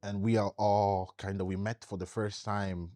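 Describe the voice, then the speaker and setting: Podcast co-host, young man from Italy, deep relaxed voice, easygoing casual delivery, dry close sound. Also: moderate pace, no echo. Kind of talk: casual conversation.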